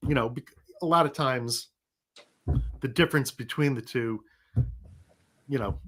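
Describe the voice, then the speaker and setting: A middle-aged man with a Rhode Island accent, hosting a loose live chat. He talks in an easy, rambling way and stops to read viewer comments aloud. He speaks at an ordinary, mid-range pitch. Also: unhurried, fairly bright tone. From New England. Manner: chatty, reads out viewer comments. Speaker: livestream host.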